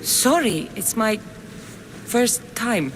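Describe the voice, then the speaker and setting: Tough wry game mercenary lady, short dry lines, really varied pitch, noisy ambience behind. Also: very animated, drawn-out words, full character voice. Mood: guarded, probing. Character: bold, wry Greek mercenary woman on a revenge hunt. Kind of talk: video game voice acting.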